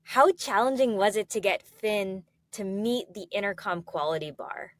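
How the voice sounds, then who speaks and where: A woman's voice: mid-range voice, animated, normal speed, dry recording. Enthusiastic woman interviewer, dry close sound. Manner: enthusiastic, recapping. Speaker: interview host. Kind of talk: casual conversational interviewing.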